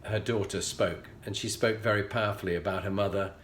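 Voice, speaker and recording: A man's voice: lowish voice, steady tone, fairly bright tone, slight background noise. Earnest Yorkshire man in an echoey room. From England. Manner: earnest, measured, pausing often. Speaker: leadership coach and show host. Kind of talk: reflective personal storytelling.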